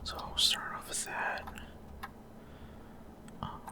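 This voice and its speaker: A man whispering ASMR video game commentary in a breathy, unvoiced voice, explaining things in short, hesitant, rambling phrases. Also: takes it slowly. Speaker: gaming video creator.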